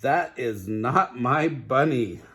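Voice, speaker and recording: An older man acts out an excitable little girl in a storybook, eager and chattering. The voice is husky and the words come out slowly, one item after another. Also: mid-range voice, heavily acted. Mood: indignant. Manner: chattering, listing things eagerly.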